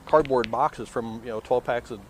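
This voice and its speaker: A middle-aged man answering interview questions off the cuff, in a halting way with repeated words. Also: mid-range voice, fairly even intonation, slight background noise, low-quality recording. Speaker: interviewee, local resident.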